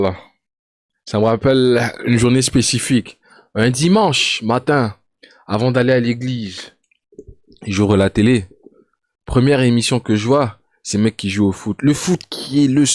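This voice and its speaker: A young man, a reaction streamer, telling a story in casual, slangy with lots of restarts and sudden bursts of excitement. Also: mid-range voice, measured pace, no room sound, studio quality. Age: young adult.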